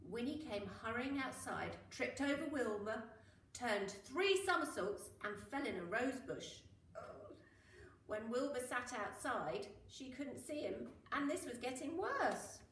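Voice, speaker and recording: A woman reading a children's story aloud in a dramatic, expressive way, with drawn-out emphasis on key words. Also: a little high. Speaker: school teacher reading to children. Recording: roomy, echoing, noisy, distant mic.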